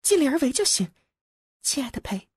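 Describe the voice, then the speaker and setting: Woman acting haughty young woman, high lilting voice, poised, dry close sound, audiobook character. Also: big pitch swings, a little fast, a little mellow, no room sound. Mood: encouraging. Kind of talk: acted audiobook dialogue.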